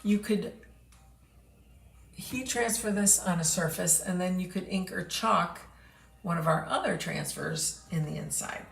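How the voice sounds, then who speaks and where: Female host, hoarse from losing her voice, slow relaxed product walkthrough, roomy noisy recording. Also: on the low side. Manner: chatty, unhurried, clears throat often. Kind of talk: casual product showcase livestream. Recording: echoey room with background noise.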